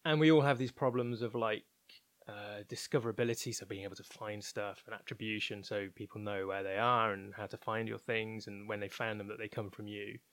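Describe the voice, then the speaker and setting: A man's voice: fairly low pitch, steady tone, a little fast, a bit bright, dry recording. A man talking as an interview guest, explaining his opinions informally and candidly, thinking aloud as he goes. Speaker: interview guest, technology researcher at a broadcaster.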